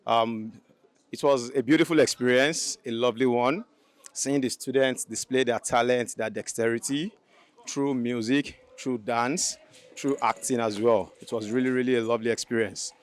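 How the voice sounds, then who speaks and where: A man's voice: mid-range voice, fairly even intonation, normal speed. An adult man with a Nigerian accent, a music teacher, giving earnest congratulatory remarks with repeated phrases for emphasis.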